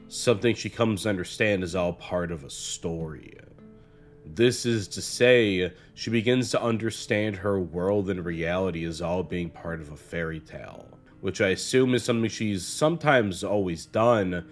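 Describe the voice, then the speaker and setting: Low-voiced man narrating over guitar music. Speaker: video essayist, narrator. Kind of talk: scripted analytical narration of a horror series.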